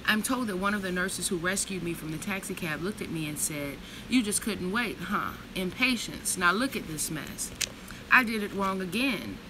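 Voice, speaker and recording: A woman in her thirties reads slowly and reflectively from a book, then slips into blunt, profane, casual storytelling. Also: lowish voice, animated, background noise. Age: 30s. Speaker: vlogger reading from a book.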